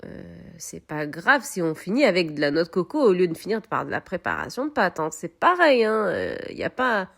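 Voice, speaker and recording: A woman talking viewers through a home baking recipe, expressive and repeating words for emphasis. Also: on the low side, a little fast, gravelly, few pauses. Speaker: home cooking vlogger. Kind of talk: chatty cooking tutorial.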